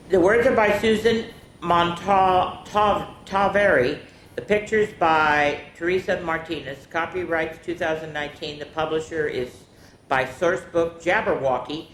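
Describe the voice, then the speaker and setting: A woman hosting a storytime, reading picture books aloud for young children and adding chatty asides. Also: deep voice. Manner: slow, deliberate delivery with chatty asides to listeners. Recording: echoey room with faint background noise.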